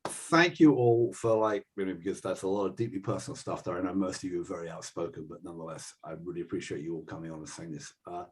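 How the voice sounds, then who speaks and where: A man hosting a panel, his voice husky and his delivery quick. He keeps things loose with chuckles and quick asides. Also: low voice. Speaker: host.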